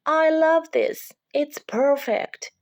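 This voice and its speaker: Woman voicing a cheerful little storybook girl, pitched up. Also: slow pace, lo-fi sound, slight background noise. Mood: tender, delighted. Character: cheerful, affectionate little girl named Lucy. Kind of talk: children's storybook read-aloud.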